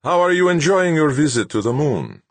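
A decadent, middle-aged male power broker from an audiobook novel, played by a male narrator. His voice is reedy and melodious, lifted high and very lively. He banters smoothly, unruffled and patiently mocking. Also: moderate pace, no echo. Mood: mocking, playful.

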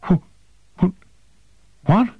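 A man voicing a frightened human man who speaks in nervous, halting bursts. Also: no room sound, narrow, tinny audio. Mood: scared. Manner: hesitant, halting.

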